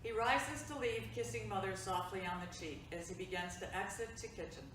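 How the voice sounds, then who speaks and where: A woman's voice: mid-range voice, brisk, a bit bright, very echoey, far from the mic, a little hiss or hum, poor audio. A woman reading stage directions plainly for a play reading over a video call.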